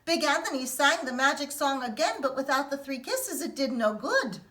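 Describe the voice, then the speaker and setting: A woman teacher reading a picture book aloud to children in an expressive storytelling manner. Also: high voice, moderate pace. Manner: expressive, sing-song storytelling.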